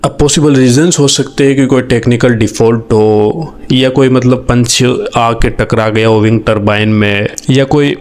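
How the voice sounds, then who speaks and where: A man with an Indian accent gives explanatory news commentary in a halting way, often rephrasing and adding asides. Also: medium pitch, fairly even intonation, a little mellow. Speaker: video narrator explaining a news event. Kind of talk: explanatory commentary, semi-scripted, mixing Hindi and English.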